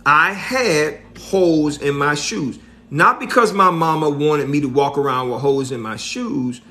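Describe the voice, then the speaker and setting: A middle-aged man giving a motivational talk on a livestream, slow and preachy, repeating his points and often checking in with "right?". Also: a little high, bright, forceful tone. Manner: slow and deliberate. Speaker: motivational speaker.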